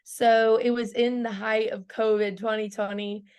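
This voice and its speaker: A woman's voice: a little high, little pitch movement, a little slow, darkish tone, smooth. A woman in her early twenties, a country singer chatting casually in an interview, filling her talk with "like" and laughing often. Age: 20s. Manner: bubbly, laughs often, lots of "like" fillers.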